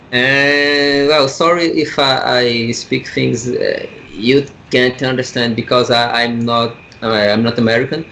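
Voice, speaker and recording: A man from Brazil with a Brazilian Portuguese accent, a comic artist guest speaking English as a second language, halting and searching for words. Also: mid-range voice, steady tone, sharp tone, slight background noise, poor audio. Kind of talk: casual interview over a call.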